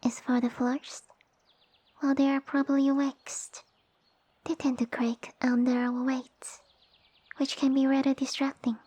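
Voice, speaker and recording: Haughty young lamia heiress, played by a woman, high and breathy, pompous and bossy, for ASMR roleplay audio. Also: level tone, unhurried, pauses often, mellow. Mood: bossy, exacting. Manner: pompous, formal, commanding speech. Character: haughty, sassy young lamia noblewoman, tiny monster girl.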